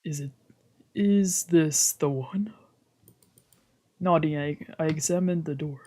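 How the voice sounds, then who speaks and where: Streamer reading visual novel narration out loud, mid voice, little whispered asides. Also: mid-range voice, slow pace. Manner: reads narration in first person, translating lines, with whispered asides. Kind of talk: reading game narration aloud.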